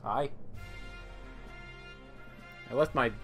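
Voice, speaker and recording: A man's voice: medium pitch, very slow, fairly bright tone, soft background music. A man hosting a gameplay video, giving casual, offhand and joking commentary.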